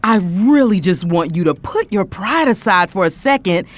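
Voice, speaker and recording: A woman's voice: medium pitch, animated, normal speed, close to an everyday voice. A woman playing a bossy, polished older sister in an audio drama, managing her family in an emphatic, persuasive way.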